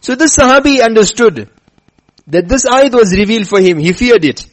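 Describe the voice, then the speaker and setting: A man with a rather high voice delivers a religious talk. He slows down to stress his lessons and tells a story as he goes. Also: expressive, poor audio. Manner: emphatic, slows down to stress points. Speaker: preacher. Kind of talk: religious lecture with chanted scripture.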